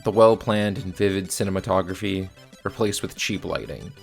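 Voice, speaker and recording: A man's voice: sits low, normal speed, creaky. A man narrating a casual, scripted movie review in a blunt, sometimes profane way. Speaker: video reviewer. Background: faint background music.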